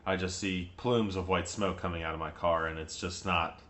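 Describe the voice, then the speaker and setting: A man with a somewhat low voice co-hosts a relaxed podcast, spinning stories in a loose, chatty flow. He laughs often mid-sentence and swears casually as he talks. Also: normal speed. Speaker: podcast co-host. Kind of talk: casual chat.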